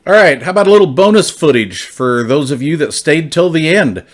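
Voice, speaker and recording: A man's voice: on the high side, expressive, fairly quick. Casual man giving a hands-on gear review.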